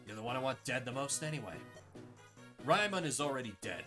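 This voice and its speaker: A man's voice: medium pitch, lively intonation, brisk, natural voice. A man voicing a gloating, menacing male traitor lord in a fantasy game. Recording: game music behind the voice.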